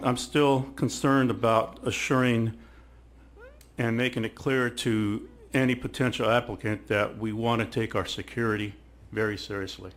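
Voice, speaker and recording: Lowish voiced guy, council member at a public meeting, halting, repeats words while he thinks it through. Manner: halting, repetitive, searching for words. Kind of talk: deliberative public meeting remarks.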